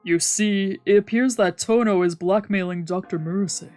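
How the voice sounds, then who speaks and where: A woman voicing a stern male gang boss, formal and measured, in a visual novel read-aloud. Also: mid-range voice, darkish tone, lightly acted. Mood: grave, wary. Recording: music behind the voice.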